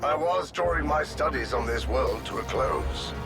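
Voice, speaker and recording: An actor playing a calm, condescending male scientist villain in a video game cutscene, measured and clinical. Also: medium pitch, over loud music, natural voice. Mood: cold. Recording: game audio with loud score.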